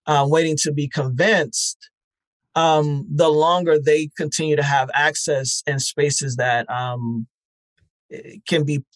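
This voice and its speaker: Earnest man speaking haltingly, close dry sound. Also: on the high side, no room sound. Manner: earnest, halting, repeats words as he thinks. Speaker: interview guest, festival founder. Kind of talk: reflective conversational interview.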